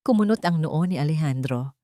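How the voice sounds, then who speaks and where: A woman's voice: deep voice, lively intonation, fairly quick, warm tone, no echo, clean studio sound. A Filipino woman reading a scripted dramatic story as its narrator.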